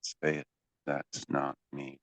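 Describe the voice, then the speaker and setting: A man working as a hypnotherapy coach, guiding a session in a deliberate, commanding way with drawn-out pauses and phrases to be repeated. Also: a bass voice, monotone, a bit bright. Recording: dull, echoey lo-fi room sound.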